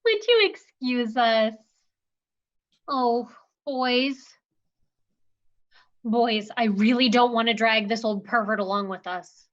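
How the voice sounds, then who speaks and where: A woman's voice: fairly high pitch, slow, long pauses, dull audio. A woman voicing a sarcastic, exasperated young female patient with a dry, dismissive manner.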